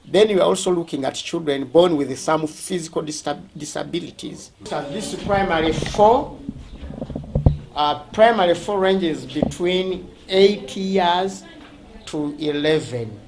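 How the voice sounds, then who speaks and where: A man with a Ugandan accent speaking as a school representative, explaining things during a tour in a halting, deliberate way, often rephrasing. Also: a little high, gravelly, background noise.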